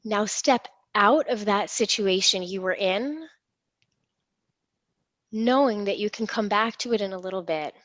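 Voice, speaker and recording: A woman leading a guided imagery meditation in a soothing, unhurried, reassuring manner. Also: mid-range voice, no echo. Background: gentle instrumental music.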